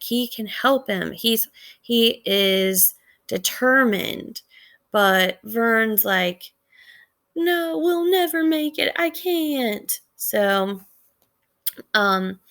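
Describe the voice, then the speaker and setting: Female teacher, unhurried delivery with some creak, reading a story and explaining it with questions. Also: medium pitch, a bit bright. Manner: measured, explanatory, pausing to question listeners. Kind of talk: read-aloud lesson with commentary and questions.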